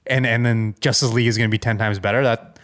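A man's voice: medium pitch, fairly even intonation, quick pace, a bit bright. A man co-hosting a podcast, chatting casually and weighing his opinions tentatively. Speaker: podcast co-host. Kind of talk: casual chat.

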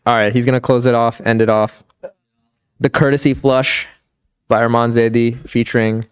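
A young man chatting as a podcast guest, relaxed and upbeat, laughing often and filling his talk with "dude" and "like". His voice is clear and resonant. The sound is dry and close. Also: medium pitch, moderate pace, no room sound. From Pakistan. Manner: laid-back, says "dude" and "like" a lot, laughs often. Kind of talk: casual chat.

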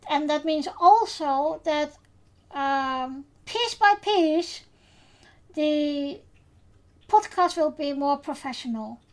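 A woman with a Dutch accent hosting a podcast in English, who speaks in a casual, unhurried way and pauses often with fillers. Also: high voice, expressive. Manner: unhurried, hesitant with many fillers. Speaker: podcast host.

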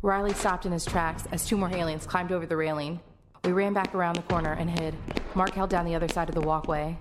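A woman's voice: fairly low pitch, lightly acted. A woman playing a tense survivor who narrates an alien invasion in the first person for an audio drama. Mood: urgent, tense.

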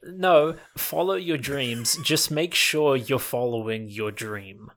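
A man's voice: mid-range voice, slow pace, a bit bright. A man from New Zealand, a guest chatting casually about himself, laughing often and restarting his sentences. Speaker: podcast guest, online gamer. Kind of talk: casual chat.

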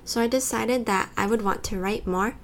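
A woman's voice: fairly high pitch, normal speed, a bit bright, a little hiss or hum. Young woman from california and taiwan, podcast cohost, chatty with lots of likes. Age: young adult. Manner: conversational, lots of "like" fillers. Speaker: podcast co-host. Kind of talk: casual podcast chat.

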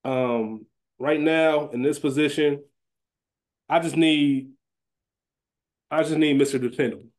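A man's voice: a little high, steady tone, unhurried, pauses often. A man co-hosting a podcast, chatting informally and casually with slang. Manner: informal, slangy, with some swearing. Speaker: podcast co-host. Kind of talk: casual chat; fantasy draft discussion of a TV show.

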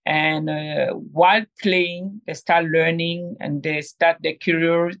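A woman's voice: low voice, fairly even intonation, slow pace, creaky. A middle-aged woman from Cameroon, telling her story as an interview guest with many fillers and restarts. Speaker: guest, game inventor. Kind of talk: conversational interview.

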